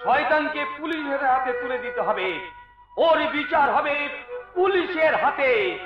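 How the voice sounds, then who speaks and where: A man's voice: extremely high pitch, lively intonation, unhurried. An actor playing a righteous, anguished man in a folk-theatre melodrama, declaiming with emphatic repetition. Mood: righteous anger. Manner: declamatory, repeats phrases emphatically. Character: righteous, anguished male avenger, fiercely protective of family. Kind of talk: Bengali jatra folk-theatre melodrama acting. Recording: dull echoey stage recording, loud music.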